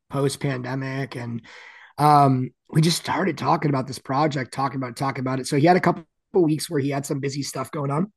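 A man with a deep, gravelly, raspy voice, chatting casually as a guest musician, with plenty of "like" and "you know" fillers. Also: no room sound. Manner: rambling, many "like" fillers. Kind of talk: casual interview chat.

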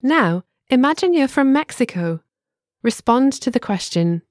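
A woman narrating a scripted language lesson, giving clear, measured instructions. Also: high-pitched, very animated, no echo, clean studio sound.

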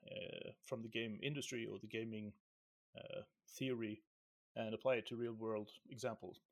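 A man from Syria co-hosting a casual podcast, rambling with many restarts and laughing easily. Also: mid-range voice. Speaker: podcast co-host.

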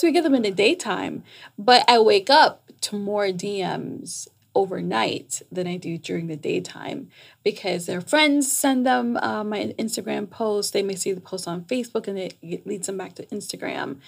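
A woman's voice: medium pitch, a little slow, vocal fry, slightly rough. A woman who grew up in Jamaica, a psychotherapist explaining her work in a casual podcast interview. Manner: articulate, explanatory, laughs easily. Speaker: psychotherapist guest.

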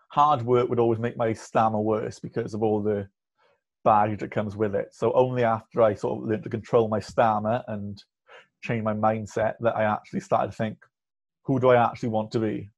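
A young man in his twenties, a podcast guest speaking candidly with a stammer, blocking on and repeating sounds. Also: lowish voice. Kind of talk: candid conversational interview. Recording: muffled lo-fi audio.

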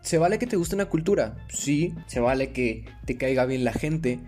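A man's voice: medium pitch, expressive, normal speed, a little mellow, soft background music. A man with a Mexican accent giving a blunt, sarcastic opinion rant for a video, swearing freely. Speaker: YouTuber commentator.

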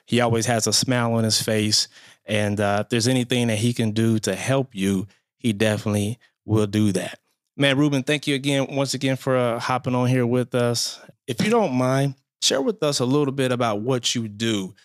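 A man's voice: medium pitch, moderate pace, dry recording, clean studio sound. A man hosting a casual podcast interview, upbeat and easygoing, often saying "man" and "you know". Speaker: podcast host.

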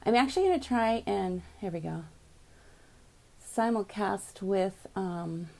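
A woman hosting a live morning podcast from her home office, chatty and upbeat, greeting viewers by name, with a voice she calls calming, on a computer mic. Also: mid-range voice, a little slow, gravelly. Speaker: podcast host. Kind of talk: casual live video chat.

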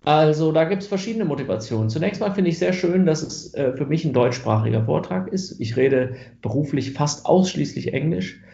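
A man's voice: fairly high pitch, expressive, normal speed, gravelly, few pauses, mellow, reverberant, dull audio. A German man answering interview questions about genetics research, thoughtful, with many fillers and restarts.

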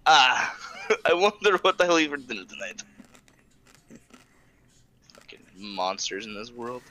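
Male, forceful and lively in pitch, slow delivery, crude sardonic banter. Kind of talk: casual joking chat.

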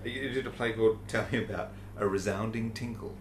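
Man, low voice, short prompting questions, roomy echo, faint hiss. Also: fairly even intonation, brisk. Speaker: interviewer. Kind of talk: interview questions.

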